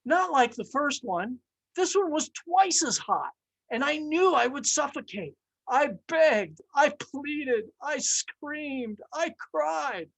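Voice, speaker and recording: A distressed little teacup from a storybook, acted out by a woman, pleads and protests in a high voice. It is dramatic and indignant, stretching its words into emphatic exclamations. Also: expressive, takes it slowly, gravelly, exaggerated voice, rough recording. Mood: distressed, pleading.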